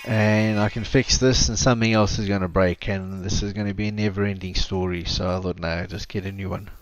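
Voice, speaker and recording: Low-voiced South African man chatting. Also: steady tone, brisk. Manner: rambling, self-correcting. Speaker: streamer host. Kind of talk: casual gaming chat. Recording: dull lo-fi stream mic with faint hiss.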